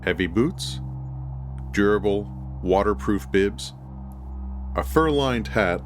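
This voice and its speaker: Male horror narrator, very low register, scripted first-person storytelling with clear music underneath. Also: animated, slow. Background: background music.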